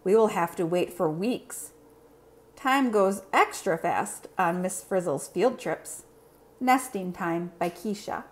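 A woman reading a children's picture book aloud in an expressive, simple storytelling manner. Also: lowish voice, slow. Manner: clear, simple, expressive delivery for young children.

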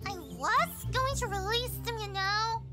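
Very high-pitched little girl. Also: lively intonation, a little slow, over music. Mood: sulky. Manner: childlike, eager. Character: innocent, playful little sister. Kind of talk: fantasy audio drama acting.

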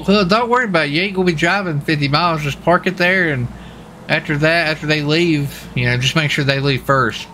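A man's voice: on the high side, fairly quick, bright, forceful tone, a little hiss or hum. An American man in casual gaming radio chatter, with a folksy, easygoing manner. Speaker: streamer playing a police officer in online roleplay.

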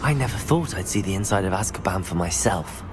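An actor playing an earnest, polite teenage wizard student in a video game. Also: male voice, low-pitched, animated, a little fast. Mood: subdued, curious. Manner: polite, plain-spoken, earnest. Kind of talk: video game character acting. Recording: music behind.

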